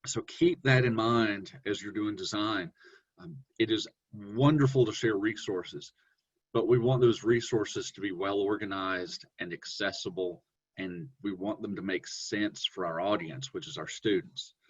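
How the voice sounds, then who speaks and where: Man, deep voice, relaxed webinar presenter, lo-fi call audio. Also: measured pace, husky. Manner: relaxed, conversational, with fillers and self-corrections. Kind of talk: presenting an online teaching webinar.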